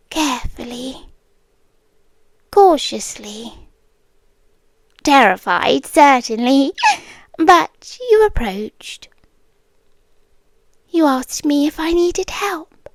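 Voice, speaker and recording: A British woman playing an inhuman, possessive desert queen in an ASMR roleplay, softly menacing and obsessive, speaking deliberately in a breathy half-whisper. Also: sits high, dry recording, exaggerated voice.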